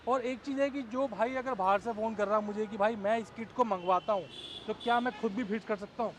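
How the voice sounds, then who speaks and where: High-pitched man explaining a product. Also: a little fast. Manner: explanatory, addresses viewers as brothers. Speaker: car body-kit maker presenting the product. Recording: faint outdoor traffic noise.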